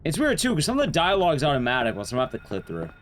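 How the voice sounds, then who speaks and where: Animated man commentating on a game stream. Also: a little high, very fast, slight background noise. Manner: rambling, informal, sweary. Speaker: speedrun streamer. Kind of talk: casual live game commentary to chat.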